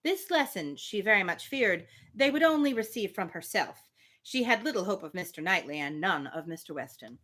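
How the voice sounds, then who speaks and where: Female book-reading host, expressive and swooping in pitch, crisp forward tone, informal commentary between passages. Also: normal speed. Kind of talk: reading a novel aloud with casual asides.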